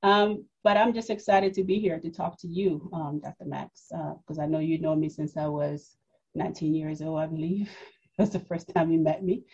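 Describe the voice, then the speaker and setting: A woman's voice: low-pitched, moderate pace, a little mellow, slightly rough, lo-fi sound. A woman in her forties from Congo, raised in New York, telling her personal story as a podcast guest, reflective, with many fillers and restarts. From Democratic Republic of the Congo, raised in New York. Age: 40s.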